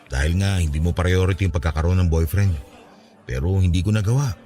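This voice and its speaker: A man's voice: sits low, a little fast, mellow, soft background music, natural voice. An actor with a Filipino accent playing an earnest, lovestruck young man pleading his case in a radio drama. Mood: earnest confession.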